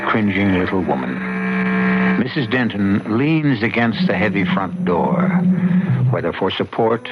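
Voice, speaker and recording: An ominous host of supernatural tales narrates an old radio drama. His low voice is portentous and measured, with dramatic pauses. Loud music plays behind, and the recording is dull and lo-fi. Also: vocal fry, heavily acted.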